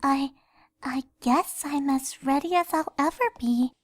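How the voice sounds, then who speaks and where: A woman voicing a timid, gentle young female cartoon pony who speaks hesitantly and stammers. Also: sits high, a little slow, no echo, pitched above the performer's own voice. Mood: nervous.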